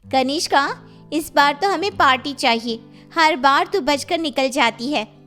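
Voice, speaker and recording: A woman's voice: high-pitched, animated, a little slow, pushed and bright, faint music behind, full character voice. A woman playing an emotional young college student in a cartoon story. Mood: playful. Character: Kanishka and friends, emotional young college women.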